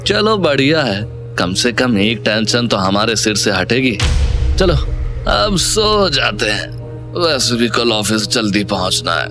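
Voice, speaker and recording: A man playing an easygoing, quietly confident village-bred young businessman in an audio drama, plain-spoken and coolly teasing. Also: medium pitch, animated, measured pace, music in the background, natural voice. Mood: musing. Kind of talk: Hindi audio drama acting.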